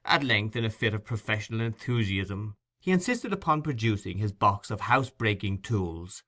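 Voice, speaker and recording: A man narrating classic fiction as a scripted audiobook, in a measured, articulate storytelling manner. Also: on the low side, lively intonation, a bit bright.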